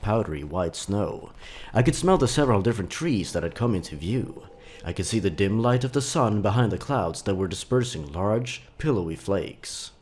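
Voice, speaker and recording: A man reading scripted horror fiction as a dramatic narrator, with measured, deliberate phrasing. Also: on the low side, darkish tone.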